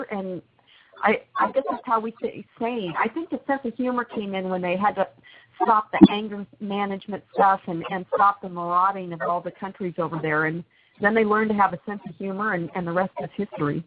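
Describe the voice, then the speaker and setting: A woman's voice: mid-range voice, brisk. A woman telling family holiday stories in a chatty, laughing way as a guest on a call-in show. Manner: chatty, laughs often, storytelling. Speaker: radio guest. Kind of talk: casual call-in chat. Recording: tinny phone line with noise.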